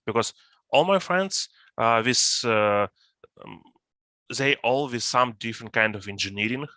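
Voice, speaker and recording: Halting Ukrainian-accented man, bright. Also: lowish voice, no room sound. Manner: hesitant, halting non-native English with many fillers. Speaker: volunteer. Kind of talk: video call discussion.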